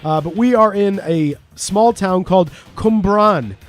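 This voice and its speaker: A Canadian man with a notably high voice hosts a podcast with friends. He talks at length, jokes and swears casually. Faint music sits low behind him. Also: takes it slowly. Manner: swearing, talkative, joking. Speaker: podcast co-host. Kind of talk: casual chat.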